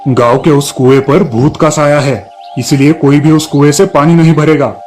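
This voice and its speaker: A man's voice: fairly high pitch, steady tone, moderate pace, darkish tone. A man narrating a scripted moral story for children as the storyteller. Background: music.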